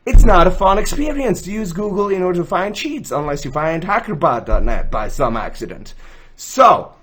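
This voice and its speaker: A man's voice: high-pitched, very animated, moderate pace, a bit bright, slight background noise. A young man from Switzerland with a Swiss accent, hosting a chatty screen-recorded tutorial from a small room, joking at himself as he explains. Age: young adult. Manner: chatty, self-joking, gestures while explaining. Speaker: gaming tools channel host. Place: indoors, small room, gaming chair.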